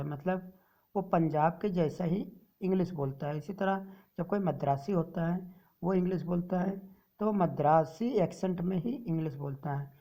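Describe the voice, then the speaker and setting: A woman teacher explaining a textbook story to students, reading English lines slowly and then explaining them in Hindi. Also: fairly low pitch, mellow, highs cut off.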